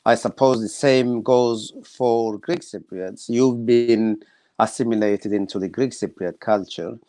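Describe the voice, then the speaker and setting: A man's voice: fairly low pitch, steady tone, a little slow, mellow, dry recording. An older man hosting an online panel over a video call, asking questions and laughing often. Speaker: host.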